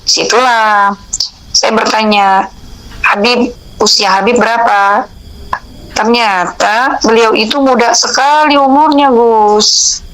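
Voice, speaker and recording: A woman's voice: a little high, slow, pauses often, poor audio. A middle-aged woman, telling a personal story in an interview and acting out the dialogue informally. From Indonesia. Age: 45 or older. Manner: animated retelling, quoting dialogue in informal speech. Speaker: interviewee, religious counsellor.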